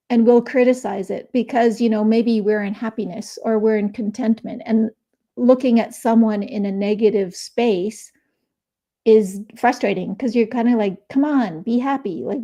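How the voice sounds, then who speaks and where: A woman's voice: fairly high pitch, dark tone. A middle-aged woman guest author on a video call, explaining at length in a chatty way with many fillers. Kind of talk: casual interview chat. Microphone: remote video call.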